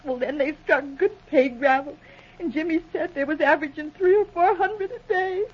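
A very high-pitched, timid young woman played by an actress sounds meek, naive and anxious in her grief. She speaks deferentially in 1940s radio drama style, through a dull lo-fi recording. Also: moderate pace, natural voice. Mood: subdued, earnest. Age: young adult.

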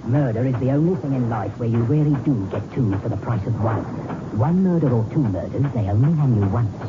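Casting: male actor as hard, impatient murderer-diver, radio suspense play. Medium-pitched, curt; thin phone-like sound over loud music. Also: animated, fairly quick, lightly acted. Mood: impatient, cold. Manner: curt, domineering, irritable. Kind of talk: 1960s radio thriller acting. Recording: echoey, tinny old radio recording.

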